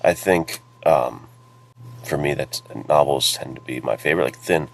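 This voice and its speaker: A man's voice: extremely low pitch, moderate pace, vocal fry, rough recording. A man co-hosting a podcast, chatting casually in an informal, plain-spoken way. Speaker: podcast co-host and writer.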